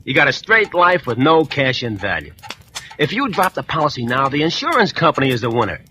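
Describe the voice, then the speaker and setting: A small-time hustler in an old radio play, pressing his case with slangy, coaxing patter. His voice is bright and edgy, and it swoops up and down a lot. Faint hiss sits under him. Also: medium pitch, sing-song, brisk. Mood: wheedling. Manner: pushy, slangy, wheedling salesman patter. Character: pushy, scheming grown son, a small-time hustler. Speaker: scheming son selling a scheme. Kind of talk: 1940s radio drama acting.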